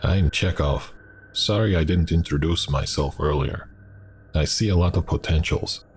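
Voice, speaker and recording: A deep-voiced Russian man acted out by a male narrator, excitable and persuasive, with a thick accent. He speaks as a storybook recruiter urging a stranger along, over clear background noise. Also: normal speed. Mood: earnest.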